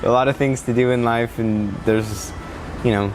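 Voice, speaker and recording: A man with a mid-range voice hosts a relaxed interview over cocktails. He talks casually and warmly, with a touch of swearing. A little background noise comes through. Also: medium pitch, moderate pace. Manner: laid-back, friendly, casual swearing. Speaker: podcast host.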